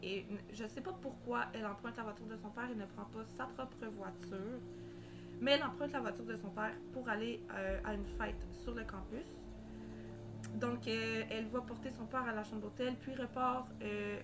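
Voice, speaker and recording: A woman's voice: a little high, fairly even intonation, normal speed. Québécois woman telling a true crime story. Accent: Québécois French. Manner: hesitant, many fillers and restarts. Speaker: true crime podcast host. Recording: loud music bed behind voice.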